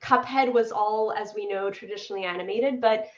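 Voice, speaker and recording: A woman's voice: medium pitch, brisk. Enthusiastic woman in her thirties, echoey call. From Poland. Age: 30s. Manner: enthusiastic, upbeat. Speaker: animator guest. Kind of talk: casual interview chat. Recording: echoey room, dull lo-fi sound.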